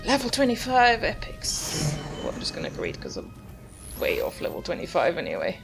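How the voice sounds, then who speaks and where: A woman's voice: on the low side, huge pitch swings, moderate pace, gravelly, over music. A woman giving casual, rambling gameplay commentary with a wry manner. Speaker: gamer narrating her play in a video series.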